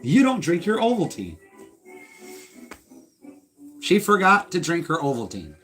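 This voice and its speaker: A man's voice: on the high side, measured pace, soft background music. A man co-hosting a live stream, chatting casually and joking with restarts. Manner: lively, joking, with stumbles. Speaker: co-host of a retro livestream.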